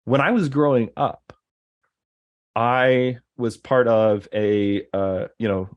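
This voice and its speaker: A man's voice: mid-range voice, fairly even intonation, slow, muffled recording. A man in casual conversation, rambling, with many fillers and restarts. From American Deep South. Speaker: guest.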